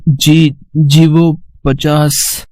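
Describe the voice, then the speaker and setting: Man as young beggar, cartoon story, high-pitched, mellow, earnest, deferential. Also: little pitch movement, extremely slow, no echo, rough recording. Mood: hesitant, deferential. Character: poor, humble young beggar man, pleading and honest. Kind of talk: acted cartoon moral story.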